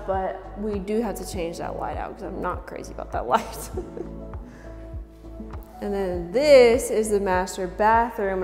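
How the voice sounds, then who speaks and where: A woman's voice: medium pitch, darkish tone. A young woman chatting casually and upbeat as she tours a new house, laughing often. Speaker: vlogger giving a house tour. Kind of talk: casual house tour narration. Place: large empty house, indoors. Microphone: camera mic from a few steps away. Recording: roomy reverb in empty rooms, music underneath.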